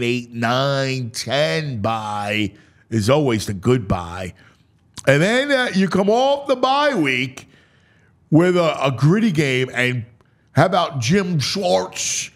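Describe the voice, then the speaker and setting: An American man hosting a sports talk show, breaking down a schedule with theatrical, announcer-like proclamations and casual asides. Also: medium pitch. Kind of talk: dramatic, animated schedule breakdown.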